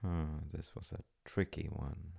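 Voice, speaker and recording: A man playing a gentle, playful dad, telling a bedtime folk tale in a husky, gravelly voice with unhurried pauses and soft asides. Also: very deep voice, level tone. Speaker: audio roleplay voice actor playing a dad. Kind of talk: intimate comforting roleplay, improvised-sounding bedtime storytelling.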